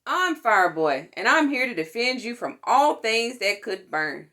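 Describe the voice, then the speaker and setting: An earnest young superhero boy from a storybook, read by a woman. He makes protective, heroic declarations at an unhurried pace, with animated intonation. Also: on the high side, exaggerated voice.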